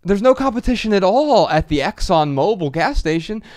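A man's voice: high voice, very animated, moderate pace, darkish tone, no room sound. A man in his late thirties hosting a conversational podcast, speaking close to a studio microphone. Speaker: podcast host. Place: small dark podcast studio. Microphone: studio microphone on boom arm, close.

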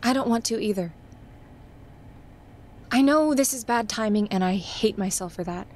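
An actress playing an unhappy, resigned partner in a naturalistic audio drama, speaking frankly. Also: female voice, on the high side, normal speed, slight background noise, natural voice.

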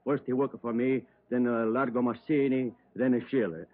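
An actor playing a talkative, excitable Italian market stall owner in halting, emphatic broken English, in an old radio drama. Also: male voice, mid-range voice, close to an everyday voice. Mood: chatty, emphatic. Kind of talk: 1950s radio drama acting. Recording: tinny, narrow old radio recording.

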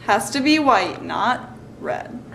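A teenage girl plays host of a homemade cooking show, high and unhurried, giggling and tripping over her words. The sound bounces around an echoey room picked up by the camera. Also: very animated, vocal fry, a bit bright, a little hiss or hum. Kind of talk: playful mock cooking show.

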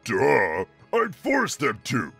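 A man's voice: mid-range voice, huge pitch swings, slow, over music, full character voice. A man voicing a grumpy, boastful monster turtle king in a growling, sneering cartoon voice. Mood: smug, boastful. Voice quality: gravelly, growling. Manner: sneering, mocking, blustering. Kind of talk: cartoon character fan dub.

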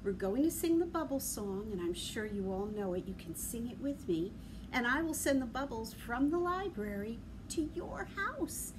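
A middle-aged woman talking to young children as a library story time host, in an animated, sing-song way with simple questions. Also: on the high side, normal speed. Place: large library room with big windows, indoors. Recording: clear background noise, room ambience.

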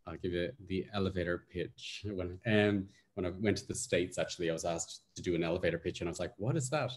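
Dublin Irish man in his forties, very low voice, intense storyteller, video call from home. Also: quick pace. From Ireland. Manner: intense, animated storyteller with hand gestures. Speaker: guest. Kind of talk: casual chat, personal story. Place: living room at home.

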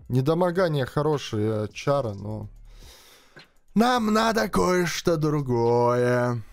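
A man's voice: medium pitch, vocal fry, darkish tone, dry recording. A young man streaming a mobile game, chatting casually and thinking aloud to his viewers from a headset in a small room. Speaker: gaming streamer. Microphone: headset, webcam framing. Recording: dry close sound, faint music behind.

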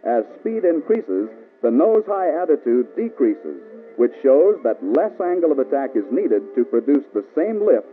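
A man's voice: medium pitch, phone-quality sound. American man narrating an old band-limited training film. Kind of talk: scripted instructional narration, mid-century military film.

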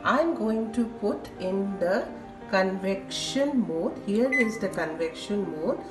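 A woman with an Indian accent narrating a recipe step by step as a home cooking vlogger, in a halting, repetitive way. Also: mid-range voice, lively intonation, recorded from a distance. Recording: roomy echoing home kitchen recording. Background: music.

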